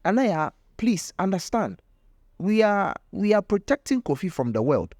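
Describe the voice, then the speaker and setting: A man acts out a possessive grandmother from a village folktale. The voice sits on the high side and swings widely in pitch, insistent, defensive and desperate. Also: big pitch swings, normal speed, darkish tone, no echo, clean studio sound, full character voice.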